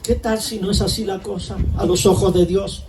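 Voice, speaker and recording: A man preaches in a lightly raised, high voice, asking his congregation question after question. He stands some way from the mic, and a faint hiss runs under his words. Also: steady tone, normal speed, far from the mic. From Chile. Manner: conversational preaching, asks rhetorical questions. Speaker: pastor. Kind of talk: sermon.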